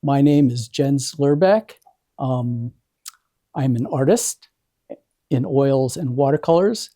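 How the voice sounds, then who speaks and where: An older American man giving an informal speech as an award presenter, speaking haltingly and often restarting his words. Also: medium pitch, animated, mellow. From Hawaii, United States. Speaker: artist presenting an award ceremony. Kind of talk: informal prepared speech to an audience.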